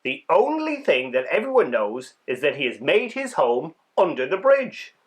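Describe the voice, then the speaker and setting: A man reading stories aloud for children in an expressive, dramatic way, leaning on key words. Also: a little high, moderate pace. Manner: animated, dramatic delivery with emphasized words. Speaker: children's storybook reader and host. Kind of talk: expressive read-aloud storytelling for kids.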